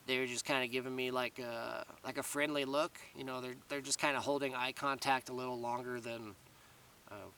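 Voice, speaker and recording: A man talks in a loose, meandering vlog monologue, circling back with restarts and "you know"s. His voice is bright and a little raspy, picked up from a few feet away with a faint hiss underneath. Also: mid-range voice, distant mic. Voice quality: slightly raspy after long talking. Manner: meandering, many fillers and restarts. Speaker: vlogger. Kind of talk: casual rambling monologue to camera.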